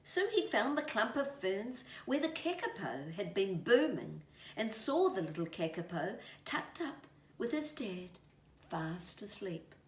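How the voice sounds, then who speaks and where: A woman reading a children's story aloud in an expressive, deliberate way. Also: mid-range voice. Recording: roomy, distant mic, faint noise.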